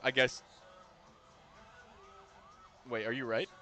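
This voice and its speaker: A man streaming a game, talking casually with loud, profane, excitable outbursts. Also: mid-range voice, takes it slowly, soft background music. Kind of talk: casual live gameplay commentary.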